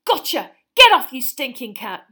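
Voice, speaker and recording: A woman voicing a teenage boy shouting frantically in an audiobook reading. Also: sits high, very animated, a little slow, breathy edge, pitched above the performer's own voice, full character voice. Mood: frantic.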